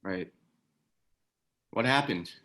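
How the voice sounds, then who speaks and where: A man's voice: medium pitch, lively intonation, drawn-out words, pushed and bright, heavily acted. Man acting a wry, easygoing ex-military ally. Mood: subdued, sympathetic. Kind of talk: screenplay table read, naturalistic dramatic acting.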